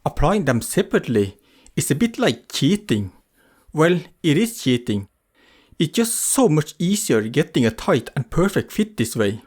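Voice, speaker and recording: A man's voice: on the high side, very animated, normal speed, a little mellow, slightly rough. A man giving an explanatory voice-over for a craft tutorial. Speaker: craft vlogger narrating a tutorial.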